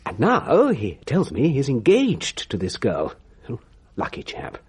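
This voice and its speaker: A lively, mannered old bachelor gentleman. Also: medium pitch, husky, full character voice. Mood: storytelling. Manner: mannered, precise, theatrical pauses. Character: genteel confirmed old bachelor, fussy, admiring gentleman storyteller. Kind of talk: radio drama acting, storytelling.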